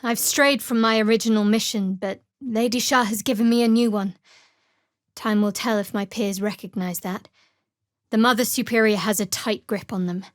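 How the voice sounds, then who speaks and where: A woman voicing a guarded, sardonic young cleric of a dark goddess in a video game, with dry, clipped, wry delivery. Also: medium pitch, steady tone, moderate pace, no echo, natural voice. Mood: wry, measured. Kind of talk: video game character dialogue.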